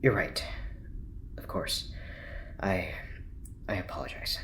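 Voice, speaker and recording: Awkward, deep-voiced male doctor. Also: takes it slowly, a bit bright, a little hiss or hum. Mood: apologetic, resigned. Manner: hesitant, polite, flustered. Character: awkward, earnest, apologetic male doctor, rule-bound. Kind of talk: audio drama acting.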